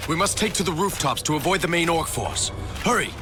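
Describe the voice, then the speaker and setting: A resolute male fighter, played by an actor, leads companions in a fantasy game. His pitch is a little high, his delivery urgent and decisive, with music clearly behind. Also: animated, a little fast, lightly acted. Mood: urgent resolve. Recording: voice under clear background music.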